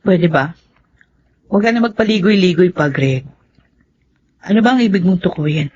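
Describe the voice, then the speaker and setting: A woman's voice: lowish voice, animated, normal speed, darkish tone, a little hiss or hum, close to an everyday voice. A woman playing a wounded but proud young woman in a Tagalog radio drama. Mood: guarded, impatient. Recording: muffled lo-fi audio.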